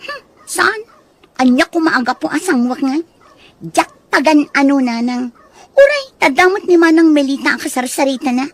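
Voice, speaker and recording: A woman's voice: sits high, very animated, moderate pace, no echo. A woman playing a snobbish, snappish young sister in an Ilocano audio drama, with an Ilocano accent. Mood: sulky, dismissive. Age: young adult. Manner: snobbish, dismissive. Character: haughty, snappish young college-graduate sister, scornful of suitor.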